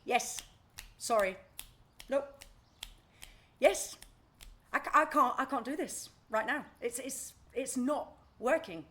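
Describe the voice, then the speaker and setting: A woman playing a brusque, socially awkward, overwhelmed woman in a naturalistic audio play, speaking bluntly in halting, repeated phrases. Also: high-pitched, fairly bright tone. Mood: distressed, overwhelmed. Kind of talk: naturalistic audio drama acting.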